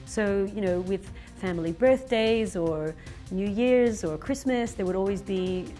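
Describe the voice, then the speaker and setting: A woman in her thirties giving a chatty, animated interview with frequent fillers. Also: medium pitch, unhurried, faint music behind. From Philippines-born, raised in Zambia and Australia. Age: 30s. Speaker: interviewee, stage actress. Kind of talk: conversational interview.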